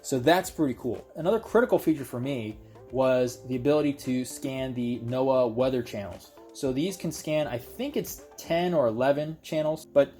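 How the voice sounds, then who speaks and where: A man's voice: medium pitch, moderate pace, dark tone. Casual man reviewing gear, music behind. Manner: conversational explainer, addresses viewers directly. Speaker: product reviewer. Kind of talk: casual unboxing and review talk to viewers.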